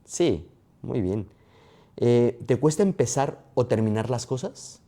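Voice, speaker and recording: Mellow-voiced male podcast host. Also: medium pitch, big pitch swings. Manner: quick rapid-fire questions. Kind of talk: interview.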